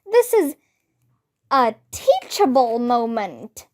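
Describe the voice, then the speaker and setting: A woman voicing an earnest grown-up female schoolteacher in a storybook, excited and instructive. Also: a little high, slow pace. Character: earnest grown-up female teacher, eager to explain.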